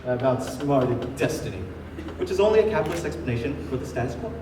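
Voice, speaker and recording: A distant, echoey and noisy stage recording of a young man playing a pompous, paranoid revolutionary in an absurd comedy. He declaims theatrically, swinging widely in pitch and flaring into outbursts. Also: lively intonation, fast, close to an everyday voice. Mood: smug. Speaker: actor. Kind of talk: amateur one-act stage comedy acting. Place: indoor stage, recorded from far off.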